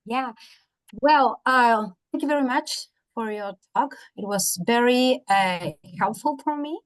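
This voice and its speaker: Slow-paced Chilean woman speaking halting English. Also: on the high side, low-quality recording. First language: Spanish. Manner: halting, searching for words in non-native English. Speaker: university educator, audience member commenting. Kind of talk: spoken feedback in an online academic discussion. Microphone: video call.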